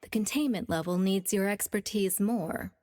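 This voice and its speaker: A woman's voice: mid-range voice, normal speed, a little mellow, close to an everyday voice. A woman voicing a playful, teasing adult colleague in a video game, with a theatrical drawl. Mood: teasing, breezy.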